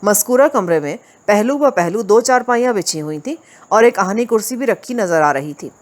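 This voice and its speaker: A woman's voice: medium pitch, lively intonation, normal speed. Woman reading a crime story steadily. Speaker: audiobook reader. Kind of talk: scripted first-person crime story narration.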